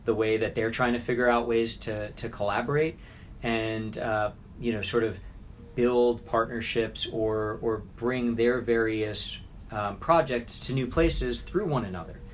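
A man co-hosting a casual conversational recap, haltingly searching for his words. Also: medium pitch, steady tone, a little hiss or hum. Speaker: podcast co-host, charity staff.

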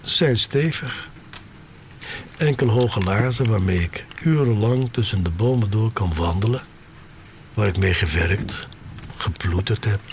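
An actor playing a sneaker-obsessed, wistful man of the future, telling his story in a deliberate, dramatic radio-drama monologue. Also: lowish voice, background noise. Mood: subdued, reflective.

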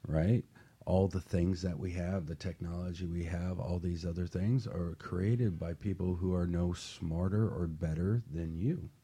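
Male motivational radio host, deep and husky, earnest and emphatic in delivery. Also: dark tone. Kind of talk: motivational talk show discussion.

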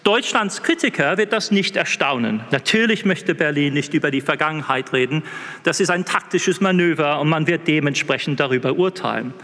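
Male guest lecturer, English accent, non-native German speaker, formal prepared talk, voice a touch high, reverberant hall. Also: animated, fairly bright tone. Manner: measured, reads a prepared text with occasional slips.

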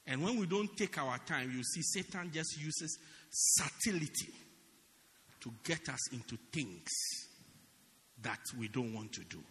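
A man preaching a dramatic sermon as pastor in a church, swinging from loud shouts to quiet asides. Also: on the high side, lively intonation, unhurried, big-room sound, a little hiss or hum.

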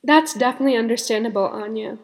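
In a room with a long reverb, a teenage young woman reads her part as a podcast co-host. Her voice is slightly high, and the delivery is scripted. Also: quick pace. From born in India, moved to the United States before kindergarten.

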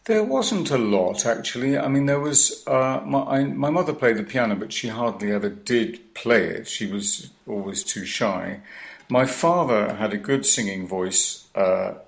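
A man in his sixties from Wales, a baritone singer, talking as a guest in a radio interview from his home in a rambling, hesitant way, often stopping and restarting. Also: animated, unhurried, vocal fry.

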